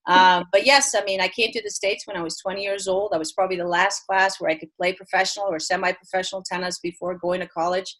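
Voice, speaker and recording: A woman's voice: on the low side, level tone, fast talker, few pauses, a bit bright, poor audio. A middle-aged woman from Belgium who grew up speaking Dutch and French, a head tennis coach talking earnestly in an interview with frequent restarts. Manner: earnest, with many restarts and fillers. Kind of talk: remote interview.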